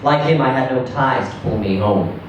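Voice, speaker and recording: A man reading a first-person short story aloud in a conversational yet theatrical way, with deliberate pauses. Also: medium pitch, dull audio. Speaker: reader performing a short story. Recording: roomy, echoing, distant mic with faint noise.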